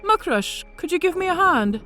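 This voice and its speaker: An actress playing a cunning, vengeful dragon mage disguised as a centurion, speaking in a theatrical, formally articulate way in a fantasy audio drama. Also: female voice, very high-pitched, very animated, normal speed, bright, forceful tone, faint music behind, full character voice. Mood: mocking, calm.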